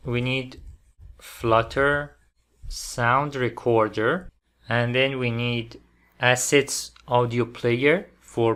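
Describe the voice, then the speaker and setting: A man presenting a programming tutorial step by step, phrasing things deliberately in short, halting fragments. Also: medium pitch, fairly bright tone. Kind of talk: step-by-step software tutorial narration.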